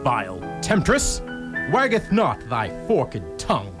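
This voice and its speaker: A man's voice: medium pitch, huge pitch swings, takes it slowly. A man playing a stern, suspicious medieval knight, declaiming archaic rhyming verse in a radio drama. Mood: accusing. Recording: loud plucked guitar music behind. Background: guitar accompaniment.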